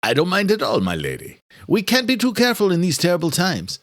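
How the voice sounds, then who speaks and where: A man's voice: high voice, huge pitch swings, brisk, a bit bright, dry recording, clean studio sound, voice pushed up, exaggerated voice. A man voicing a murderer who poses as a courteous chauffeur, sly and overly polite, in an audiobook thriller. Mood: smoothly courteous. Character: male killer posing as courteous chauffeur, sly and menacing.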